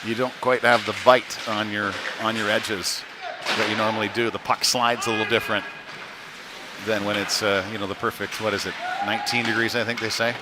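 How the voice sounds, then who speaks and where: A man giving live play-by-play commentary on youth ice hockey, conversational and halting, with frequent filled pauses. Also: fairly low pitch, flat delivery. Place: chilly indoor ice rink. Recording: noisy rink ambience, clattering background.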